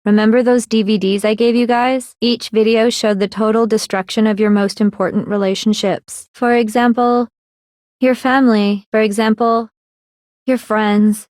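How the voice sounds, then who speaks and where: A woman's voice: on the high side, a little mellow, no room sound, clean studio sound, lightly acted. A woman playing a mock-courteous teenage girl mastermind in an anime game, speaking formally, like a teacher quizzing a class. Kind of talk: anime video game voice acting.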